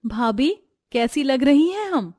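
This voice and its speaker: A woman's voice: sits high, lively intonation, takes it slowly, warm tone, voice pushed up. A woman voicing a sweet, innocent and brave young sister-in-law from a poor home, with an eager girlish manner. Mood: delighted. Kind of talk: Hindi family moral-story acting.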